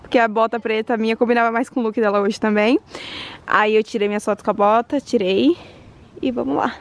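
High-voiced young Brazilian woman vlogging. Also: steady tone, brisk. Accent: Brazilian Portuguese. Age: 20s. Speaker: travel vlogger. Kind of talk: casual vlog chat to viewers. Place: outdoors by desert roadsides and trails. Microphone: camera at arm's length. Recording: outdoor ambience noise, handheld camera.

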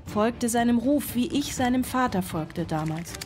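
Anguished mom, middle-aged, radio play monologue, intense n poetic, music behind. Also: female voice, on the high side, lively intonation, a little slow, darkish tone. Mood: grieving, tender. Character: anguished single mother of a radicalised son. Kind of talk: literary radio drama monologue.

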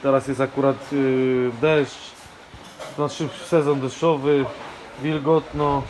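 A man in his thirties giving a relaxed, chatty phone-camera tour of a building site outdoors, with frequent filler sounds. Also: mid-range voice, takes it slowly, noisy background. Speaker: vlogger. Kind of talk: casual walk-through vlog narration. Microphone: handheld phone camera.

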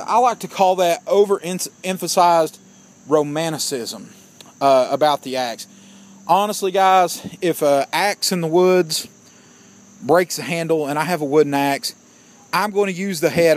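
A man with a fairly high-pitched voice talks through an outdoor gear review in a casual, plainspoken way. He is opinionated and keeps addressing his viewers as guys. Crickets chirp behind him. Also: moderate pace. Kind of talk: casual product review vlog.

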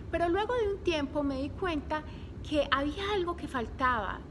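A woman's voice: a little high, noisy background. A woman in her thirties, raised in Colombia, tells viewers her story as an image consultant, in a flowing way aimed straight at the audience, from a living room at home. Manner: warm, flowing, addresses viewers directly. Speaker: image consultant and online course creator. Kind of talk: personal story to camera.

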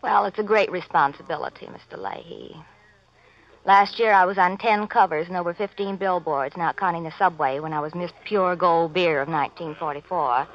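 A woman's voice: lowish voice, moderate pace, close to an everyday voice. An actress playing a vain, dim young beauty queen who gushes and mangles big words, in 1940s radio style. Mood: self-important. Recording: dull vintage radio recording.

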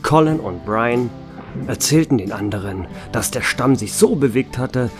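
A man playing a bar owner who narrates his own story in a radio drama, in a measured manner. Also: fairly low pitch. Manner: measured, first-person storytelling.

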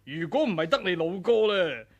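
An actor with a Hong Kong Cantonese accent playing a scheming martial-arts rogue who laughs slyly and coaxes others in a 1980s radio drama. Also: male voice, high voice, big pitch swings, brisk, exaggerated voice. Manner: sly laughter, cajoling, rallying the crowd.

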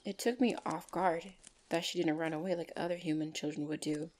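Woman acting a low-voiced gentle orc girlfriend. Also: steady tone, lightly acted. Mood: wistful, tender. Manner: soft, hesitant, tender, with small pauses. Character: gentle young female orc, shy devoted lover. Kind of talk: ASMR girlfriend roleplay.

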